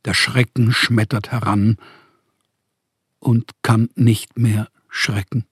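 A husky, low-pitched older man as a weary writer in exile. He speaks in a measured, melancholy way, very slowly, as in a literary radio play. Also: little pitch movement, a bit bright, no room sound, clean studio sound, full character voice. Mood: weary sorrow. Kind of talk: literary radio drama monologue.

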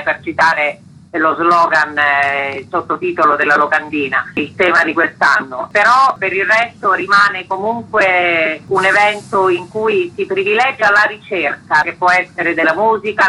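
Festival director, woman, bright husky voice, earnest persuasive pitch, phone-line sound. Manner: earnest, persuasive, rambling pleas. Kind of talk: radio interview, casual.

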